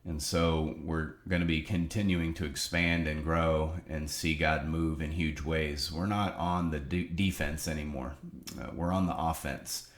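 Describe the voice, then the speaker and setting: A deep, low-pitched man speaks with earnest, preacherly emphasis. A cold leaves him slightly nasal. The sound rings a little off the walls of his home office. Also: fairly even intonation, unhurried, reverberant. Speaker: ministry leader giving a video update. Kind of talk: casual spoken update to supporters.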